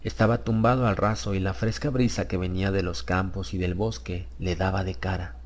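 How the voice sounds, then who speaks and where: A man's voice: low voice, little pitch movement, fairly quick. A man reading a scripted horror story as a dramatic audiobook narrator.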